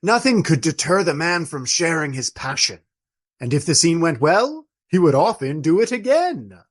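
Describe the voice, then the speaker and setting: A man playing an old friar in a radio play, speaking in formal, ornate, deliberate phrases with gallant fondness. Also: fairly high pitch, sing-song. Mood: gallant, fond. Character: courtly old Trappist friar, fond, wry, gallant storyteller.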